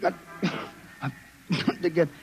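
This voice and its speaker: An actor playing a jittery young private eye in a 1950s radio drama, halting and earnest as he speaks. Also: natural voice. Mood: desperate pleading. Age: young adult. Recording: noisy old 1950s radio, clicks.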